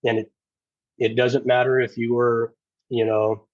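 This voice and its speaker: A man talking as a podcast guest in casual conversation, earnest and halting, with frequent restarts. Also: mid-range voice, flat delivery, a little mellow, no room sound, highs cut off. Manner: earnest, halting, many restarts and "you know"s. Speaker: podcast guest, former federal officer and navy corpsman.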